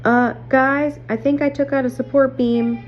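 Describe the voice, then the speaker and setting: A woman's voice: sits high, level tone, normal speed, voice pushed up, close to an everyday voice. A woman voicing an alarmed teenage girl miner calling urgently to her team in a storybook reading. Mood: nervous. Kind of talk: acted dialogue in a storybook reading. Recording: muffled audio, clear background hum.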